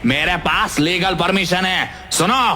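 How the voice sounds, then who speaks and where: A man's voice: high voice, a little slow, pushed and bright, exaggerated voice. A man playing an arrogant cartoon factory manager, curt, scolding and dismissive. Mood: contemptuous. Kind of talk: animated cartoon character acting. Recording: music bed under the voice.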